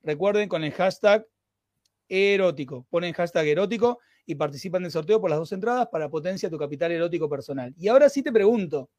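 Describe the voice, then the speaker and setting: Fast high-voiced Argentine male host. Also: animated, a little mellow, dry recording. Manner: brief affirming interjections. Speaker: interviewer host. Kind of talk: interview hosting.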